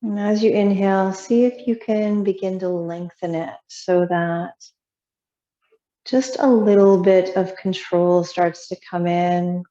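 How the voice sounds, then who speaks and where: A woman yoga teacher leading a guided meditation in a soothing, unhurried, gently instructing way with long pauses. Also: medium pitch, fairly even intonation. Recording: roomy echo, slightly muffled sound.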